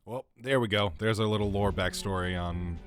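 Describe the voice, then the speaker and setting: A man's voice: on the low side, normal speed, slight background noise. A man chatting casually as a game streamer, giving live commentary and talking with viewers. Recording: game music behind.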